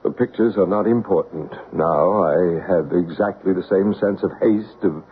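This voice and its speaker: An actor playing a calm man who leads a seance and narrates the story, in a 1970s radio drama. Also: low-pitched, slight background noise, natural voice. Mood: solemn. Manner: solemn, measured, hushed. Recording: tinny 1970s radio broadcast with faint music.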